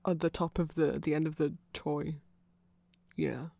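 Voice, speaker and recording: A performer voicing a nerdy, awkward, eager vampire friend in a rambling, hesitant ASMR roleplay, halting and self-conscious. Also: mid-range voice, little pitch movement, vocal fry, warm tone, no echo. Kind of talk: rambling ASMR roleplay, explaining fan lore to a listener.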